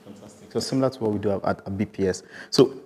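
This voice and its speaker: A man's voice: lowish voice, fast talker, warm tone. A man hosting an informal live interview with a guest at a business event.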